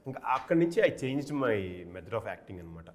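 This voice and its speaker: A man's voice: medium pitch, very animated, normal speed. A man, an actor, chatting, explaining things and slipping into English phrases. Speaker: actor being interviewed. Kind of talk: casual interview chat.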